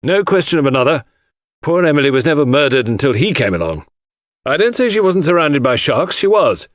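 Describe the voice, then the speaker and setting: Audiobook role: gruff, indignant, loyal Englishwoman played by a man, raised pitch, blunt clipped delivery. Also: big pitch swings, a little fast, dry recording. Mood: gruff indignation. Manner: clipped, blunt, forceful sentences. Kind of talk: audiobook character voice.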